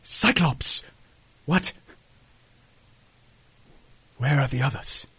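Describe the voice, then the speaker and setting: A man playing a grave, authoritative professor who mentors superheroes, speaking urgently and deliberately in a fan audio drama. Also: mid-range voice, expressive, bright, forceful tone, husky, heavily acted. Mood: alarmed.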